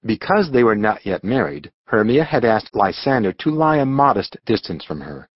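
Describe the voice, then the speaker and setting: A man narrating a scripted storybook audiobook with dramatic, expressive delivery. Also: lowish voice, normal speed, breathy edge, no room sound, lo-fi sound.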